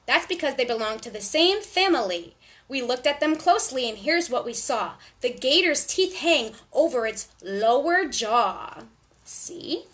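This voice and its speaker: A woman storyteller reading a rhyming picture book aloud to young children in an animated, sing-song way. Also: high voice, a little slow.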